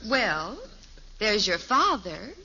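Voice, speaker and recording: A woman playing a patient, practical, gently firm middle-aged mother in a 1950s radio sitcom. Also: mid-range voice, sing-song, slow, gravelly, exaggerated voice. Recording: muffled, hissy old radio recording.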